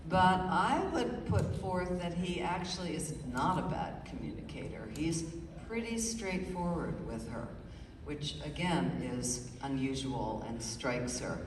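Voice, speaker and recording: A middle-aged woman, an author, speaking thoughtfully and with many pauses in a live radio interview. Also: deep voice, expressive, noisy background. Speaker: author guest. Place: stage before a live audience. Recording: roomy hall, far from the mic, audible ambience.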